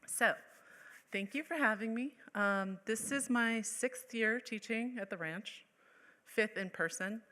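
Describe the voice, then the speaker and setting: A woman's voice: a little high, slow, bright, forceful tone. A woman from Colorado giving a casual, chatty artist lecture, with many ums and restarts. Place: lecture hall. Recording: echoey hall with long reverb.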